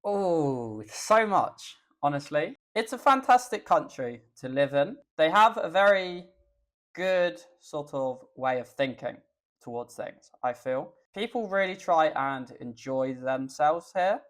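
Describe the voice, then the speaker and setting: A young Englishman with an English accent, a guest chatting in a podcast interview, relaxed and thoughtful with the odd um. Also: on the high side, slow. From England. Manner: relaxed, thoughtful, with filler ums. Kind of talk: casual interview chat.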